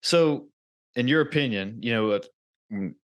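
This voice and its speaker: A man's voice: medium pitch, expressive, a little slow, no echo. A man from rural Virginia hosting a casual interview, talking in a rambling, informal way. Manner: rambling, informal, with many fillers. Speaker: podcast host.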